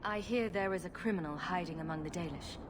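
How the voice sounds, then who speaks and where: A woman's voice: on the low side, fast talker, close to an everyday voice. A woman playing a sarcastic female rogue champion in a video game, with a dry, wry delivery. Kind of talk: video game character acting. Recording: clear background ambient noise.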